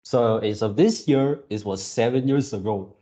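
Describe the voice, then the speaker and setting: A man in his early twenties talking casually to viewers as a channel host, in a halting way, often repeating and rephrasing himself. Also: fairly low pitch, mellow. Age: early 20s. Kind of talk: casual reaction video talk to viewers.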